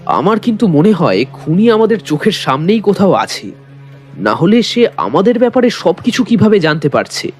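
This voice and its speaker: Guy acting as detective's sidekick narrating a mystery, high animated voice, reverby, suspenseful. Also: moderate pace. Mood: concerned. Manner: storytelling, suspenseful. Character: detective's loyal male companion narrating the mystery. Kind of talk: dramatic scripted narration. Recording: long reverb, music bed.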